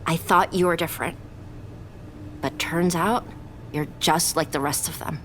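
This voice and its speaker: A woman's voice: sits low, steady tone, unhurried, noisy background. A woman playing a betrayed, blunt young woman in a romantic audio drama, sarcastic and accusing. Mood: bitter, hurt.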